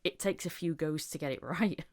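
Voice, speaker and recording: A woman's voice: deep voice, normal speed. A woman hosting a podcast, chatting casually and telling anecdotes about her own work. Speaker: podcast host, voice actor.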